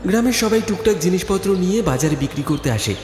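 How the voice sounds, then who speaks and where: A man's voice: high voice, lively intonation, quick pace, a little mellow. A man narrating a horror cartoon story in a dramatic, scripted storytelling style. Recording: echoey studio reverb, music behind. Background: music.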